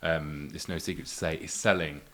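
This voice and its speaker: A man's voice: a bass voice, steady tone, fairly quick, fairly bright tone. A man co-hosting a podcast in a casual, promotional chat, upbeat and chatty. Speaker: podcast co-host.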